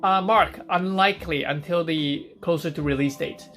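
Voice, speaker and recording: A man from Hong Kong hosts a live stream in a loose, chatty way, fielding viewers' questions as they come in. His voice sits a little high for a man. Faint music plays behind him. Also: moderate pace, bright, forceful tone. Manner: chatty, reads out viewer questions and answers them on the spot.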